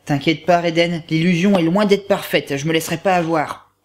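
A cheeky little teddy bear voiced by a man heckles in a high, childlike voice. It sounds naive and comic, like a puppet sidekick, with music clearly behind. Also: normal speed, lightly acted. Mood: naive teasing.

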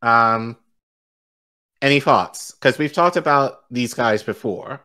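A man's voice: mid-range voice, takes it slowly, pushed and bright. A male co-host reading news articles aloud on a live stream, stumbling over foreign names and laughing easily. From Igbo-speaking background. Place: home studio, indoors.

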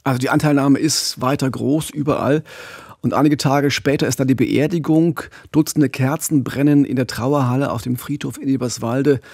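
A man's voice: mid-range voice, fairly even intonation, normal speed, vocal fry, a little mellow, breathy edge, no room sound. A man in his forties hosting a true-crime podcast, narrating conversationally into a studio microphone. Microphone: studio condenser mic with pop filter.

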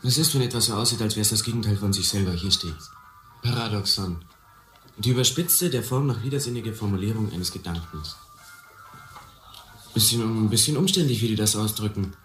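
A streetwise, cocky harbour youth played by a man speaks in a low voice, blunt and colloquial. He sounds hungry to get ahead. It is radio drama in a roomy space with music behind. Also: a little fast, fairly bright tone, lightly acted. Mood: probing, blunt. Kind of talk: German radio play acting.

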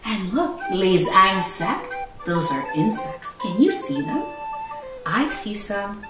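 A woman in her thirties reading a storybook aloud to young children as a teacher, with expressive emphasis and explanations. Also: mid-range voice, slow, recorded from a distance, music in the background. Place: small living room at home, indoors. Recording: roomy echo, away from the mic.

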